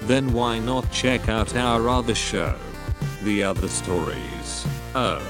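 Slow, low male announcer over music. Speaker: podcast announcer. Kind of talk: playful scripted outro narration.

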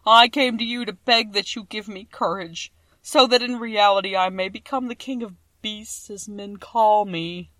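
A woman voicing an earnest, fearful male lion in a storybook reading, loud, emphatic and plaintive. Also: mid-range voice, moderate pace, no room sound, voice pushed up, close to an everyday voice. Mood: pleading. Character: cowardly male lion, earnest, fearful, self-pitying beast.

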